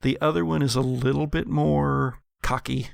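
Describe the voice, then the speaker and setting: Creaky-voiced man narrating a tabletop game. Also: medium pitch, steady tone, moderate pace, no room sound, studio quality. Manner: dramatic, vivid second-person storytelling. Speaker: game master.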